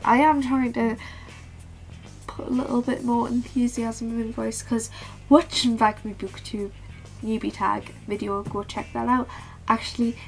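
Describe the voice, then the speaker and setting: Calm, flat-toned teenage girl vlogging. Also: on the high side, vocal fry, rough recording. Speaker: book vlogger. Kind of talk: casual book tag vlog.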